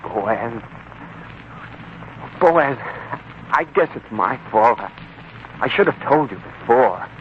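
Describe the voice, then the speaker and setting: A slightly dull, faintly hissy 1940s radio drama recording carries an anxious young bridegroom. His mid-pitched voice rises and falls expressively, earnest, deferential and hesitant, with a pleading edge. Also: animated, takes it slowly, long pauses. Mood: hesitant, uneasy. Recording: muffled, faintly noisy 1940s radio broadcast.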